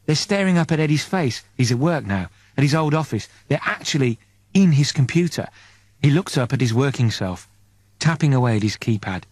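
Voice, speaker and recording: A man's voice: medium pitch, lively intonation, brisk, no room sound. A man narrating a story in the present tense as a dramatic audiobook reader.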